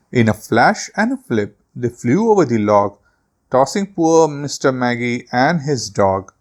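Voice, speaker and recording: A man hosting a children's read-aloud, telling a storybook in an animated, theatrical way. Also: mid-range voice.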